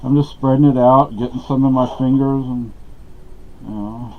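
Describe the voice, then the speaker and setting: Middle-aged man from Texas, chatty and meandering, recorded in a dark room on a dull-sounding camera mic. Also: medium pitch, fairly even intonation, moderate pace. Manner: meandering, many fillers and restarts. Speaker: vlogger. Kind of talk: rambling casual talk to camera. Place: small dark room at home.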